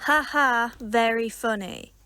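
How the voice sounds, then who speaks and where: An actress playing a prim, easily flustered club organiser in an audio drama, speaking in a brisk, clipped, humourless way. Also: female voice, fairly high pitch, bright, forceful tone, exaggerated voice. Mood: brisk, exasperated.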